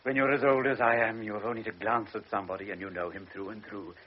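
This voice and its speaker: An actor voicing an anxious old baron in a vintage radio play, his low voice hesitant and apologetic, stumbling over his words as he pleads with a young officer. The recording is dull and muffled, with a faint hiss behind it. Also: fairly even intonation, fast, close to an everyday voice. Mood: anxious, pleading. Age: older. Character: older wealthy baron, anxious doting father. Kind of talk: classic radio drama acting.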